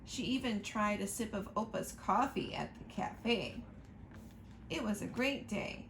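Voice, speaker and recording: A woman reading a picture book aloud to children, slow and expressive, pointing out the pictures as she goes. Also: mid-range voice, gravelly, a little hiss or hum. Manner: slow, expressive storytime reading, asking listeners to look at pictures.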